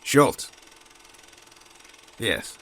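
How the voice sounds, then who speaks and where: An actor playing an impatient, dismissive high-ranking government official in a radio drama, curt and condescending. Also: male voice, very slow, fairly bright tone, slight background noise.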